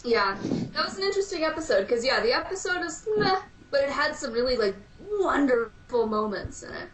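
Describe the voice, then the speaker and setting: A woman's voice: high voice, animated, brisk. A woman chatting as a podcast guest, speaking emphatically and with enthusiasm. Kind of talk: casual chat. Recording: roomy with faint hiss.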